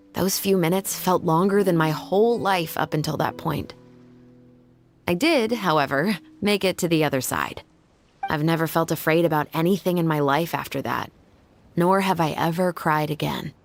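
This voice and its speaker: A resolute young female patrol officer in a video game. The voice sits fairly low for a woman, measured and earnest, with formal, composed phrasing. Music is clearly audible underneath. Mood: somber, reflective. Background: background music.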